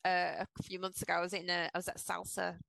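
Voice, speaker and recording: A woman with an English accent softened by years in Australia, co-hosting a casual podcast discussion and making her points with rhetorical questions. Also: fairly low pitch, monotone, fast, creaky, no echo. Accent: English accent with some Australian. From England. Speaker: podcast co-host.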